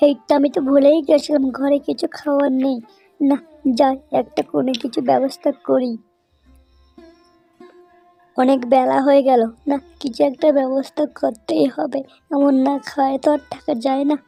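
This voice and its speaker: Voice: high voice, level tone, normal speed, vocal fry, mellow, soft background music. A performer voicing a hungry, scheming village husband animal in a cartoon, exclaiming and muttering to himself. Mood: fretful. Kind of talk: Bengali cartoon voice acting.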